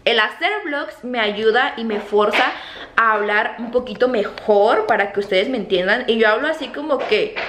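A woman in her thirties from Mexico, with a softened Mexican accent, talking casually to a close camera in her home kitchen, full of fillers and repeated words. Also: fairly high pitch, normal speed. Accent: Mexican Spanish, softened toward neutral. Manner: chatty, animated hand gestures, many fillers and repeated words. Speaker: vlogger. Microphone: camera close to face. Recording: echoey kitchen, faint room hum.

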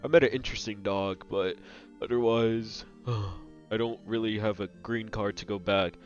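A man's voice: on the low side, steady tone, normal speed, voice pushed down, natural voice. A man voicing a homesick, dejected bear character who rambles and complains in an improvised comic skit. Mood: gloomy. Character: dejected homesick male leprechaun bear with a grudge. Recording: dull lo-fi audio with music behind.

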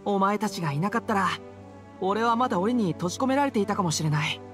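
Earnest, animated boy adventurer. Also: sits high, quick pace. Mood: grateful, earnest. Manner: boyish, hearty, sincere. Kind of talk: Japanese anime game voice acting. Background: background game music.

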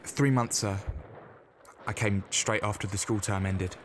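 A man's voice: fairly even intonation, measured pace, close to an everyday voice. Frightened young wounded private, acted by a man, over artillery. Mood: scared, deferential. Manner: pleading, deferential to his officer. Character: frightened eighteen-year-old wounded First World War private. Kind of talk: audio drama acting. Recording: wartime scene, loud artillery rumble behind. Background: artillery fire and battlefield ambience.

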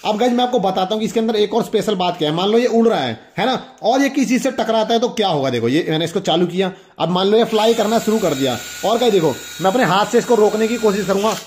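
A young man with a high voice talks casually to viewers as a toy review host. His delivery is enthusiastic and full of exclamations, and he tends to repeat his points as he demonstrates. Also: animated, fast talker, hardly any pauses.

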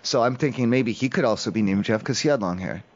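A man making a prank call, rambling haltingly as a naive, stoned-sounding caller. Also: medium pitch, slightly rough. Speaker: prank caller, show host. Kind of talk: improvised prank phone call. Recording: muffled, dull lo-fi call audio.

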